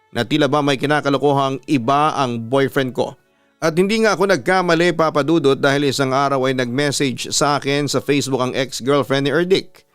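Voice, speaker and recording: A man's voice: medium pitch, fairly bright tone, clean tone, no echo. A man, a radio announcer, narrating a scripted dramatic story in the first person.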